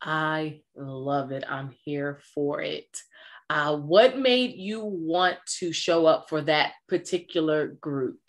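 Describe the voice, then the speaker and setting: Upbeat woman podcast host, lowish voice. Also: slow pace. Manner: upbeat, affirming interjections. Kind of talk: casual interview chat.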